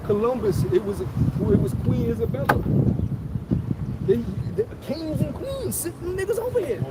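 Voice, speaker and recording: High-voiced man preaching over loud wind. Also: wildly swooping pitch, normal speed. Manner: forceful, profane, talks over others. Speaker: teacher of a street study group. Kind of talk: heated religious teaching. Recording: loud outdoor wind and traffic noise.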